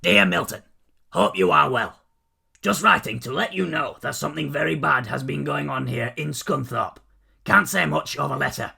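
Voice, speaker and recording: A man voicing a gruff, raspy uncle who speaks a terse letter in an audiobook. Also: on the high side, lively intonation, moderate pace, pushed and bright, full character voice. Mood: urgent, terse. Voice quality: gravelly, raspy. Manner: terse, clipped.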